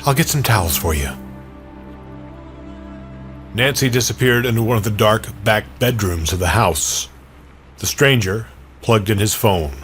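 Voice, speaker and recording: A man reads a first-person story as narrator of an audio drama. His voice sits fairly low. An original music score plays clearly behind him. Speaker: narrator and performer.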